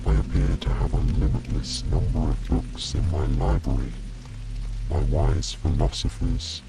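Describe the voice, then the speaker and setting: A man voicing a remorseful, reflective lord of a lonely chateau in a bedtime story, soft and woeful. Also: very deep voice, creaky, exaggerated voice, low-quality recording. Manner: woeful, halting, confessional. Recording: rain and music behind.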